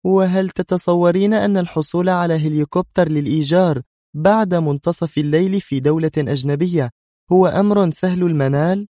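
A man voicing a nervy, scornful young woman intelligence agent in an audio drama, with a sharp, sarcastic manner. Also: sits high, fairly quick, no room sound, studio quality, pitched above the performer's own voice, lightly acted. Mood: stern, scornful.

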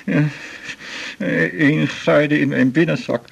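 An actor playing a shaken, indignant man in a radio drama, husky and halting. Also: fairly high pitch, fairly even intonation, muffled recording, heavily acted. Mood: aggrieved. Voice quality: husky, creaky. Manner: halting, emotional. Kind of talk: naturalistic radio drama dialogue.

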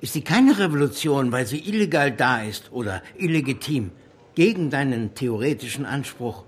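An actor playing a defiant, fervent revolutionary leader in a German radio drama, challenging and insistent. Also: male voice, mid-range voice, animated, normal speed, breathy edge. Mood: defiant, urgent.

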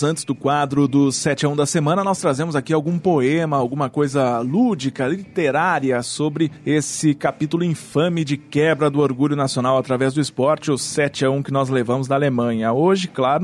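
Ironic Brazilian radio host, higher voice. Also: male voice. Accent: Brazilian Portuguese. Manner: ironic, playful, chatty. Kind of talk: scripted comic radio bit.

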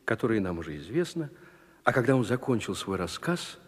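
A male actor as the measured storyteller, narrating a scripted audio fairy tale in a husky voice. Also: on the low side, sing-song. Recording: reverberant hall, light music underneath.

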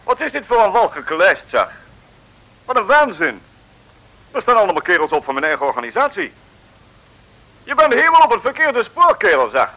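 Grumpy colonel in an old radio play, high sharp voice, scolding. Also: male voice, wildly swooping pitch, brisk, long pauses, full character voice, poor audio. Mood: outraged. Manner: gruff, exclamatory, scolding. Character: blustering, irritable male colonel and spy chief. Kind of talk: old radio serial acting.

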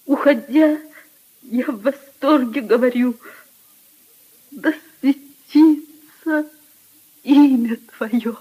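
A tender young noblewoman in grief, speaking slowly in a soft, dark, breathy voice. Her delivery is hushed and emotional, in the manner of old Soviet radio drama. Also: sits high, exaggerated voice, poor audio. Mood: tearful, exalted. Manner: hushed, drawn-out, emotional delivery, often breaking into whispers.

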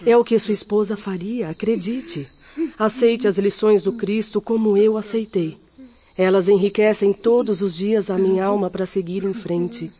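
A woman's voice: medium pitch, fairly even intonation, normal speed, dark tone, close to an everyday voice. A woman playing a compassionate but firm middle-aged spirit medium in a radio drama, earnestly consoling and exhorting. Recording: muffled, lo-fi radio play audio.

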